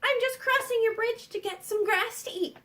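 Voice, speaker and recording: A woman's voice: extremely high pitch, moderate pace, a bit bright, voice pushed up. A woman voicing a small, skinny, timid little billy goat in a storybook tale, innocent and pleading.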